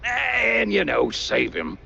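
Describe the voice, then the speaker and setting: A man playing a dim, chatty cartoon game character with a gravelly voice and a rambling, stammering manner. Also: high voice, wildly swooping pitch, slow, bright, forceful tone, lo-fi sound, exaggerated voice. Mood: sheepish. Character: dim, chatty male cartoon henchman type.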